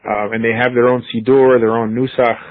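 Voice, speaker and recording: A man's voice: mid-range voice, brisk, dry recording, narrow, tinny audio. A man, casually chatting and telling stories as host of a talk. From United States. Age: 40s. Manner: relaxed, chatty, storytelling. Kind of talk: casual conversation.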